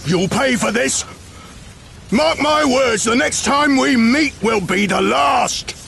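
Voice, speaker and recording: An actor playing an arrogant, sneering, hot-tempered imperial military villain in a video game cutscene, gloating and blustering. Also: male voice, extremely high pitch, slow pace, creaky, fairly bright tone, slight background noise, heavily acted. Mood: furious.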